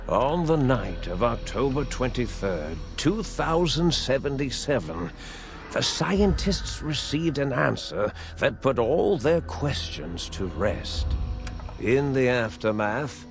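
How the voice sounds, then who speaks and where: A man narrating a video game cinematic as a grave storyteller, speaking solemnly and deliberately with weighty pauses. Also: mid-range voice. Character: grave storyteller recounting a post-war science legend. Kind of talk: scripted narration, measured storytelling. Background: music behind the voice.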